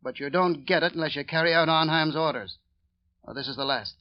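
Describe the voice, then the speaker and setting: A man's voice: fairly high pitch, fairly quick, no echo, lightly acted. An actor playing a cold, bullying gangster henchman, threatening in a clipped tough-guy delivery. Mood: menacing. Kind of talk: 1940s radio acting. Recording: dull old radio recording.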